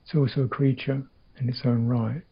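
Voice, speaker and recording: A man with a husky, creaky voice leads a guided meditation. He speaks as a teacher in halting, thoughtful phrases, leaving long gaps between them. The recording is slightly dull. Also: medium pitch, flat delivery. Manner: halting, reflective, short phrases with long pauses.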